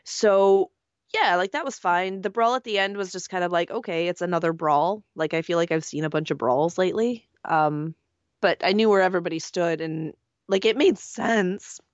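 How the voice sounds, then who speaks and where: A woman's voice: lowish voice, moderate pace, no echo, muffled recording. A woman co-hosting a wrestling podcast, her voice worn from being sick, easygoing and laid-back in casual chat. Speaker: podcast co-host.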